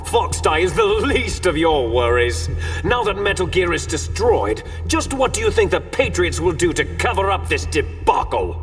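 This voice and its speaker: An actor playing a vengeful, boastful British rebel soldier in a video game, sneering and grandiose. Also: male voice, sits high, big pitch swings, normal speed, recorded from a distance, soft background music.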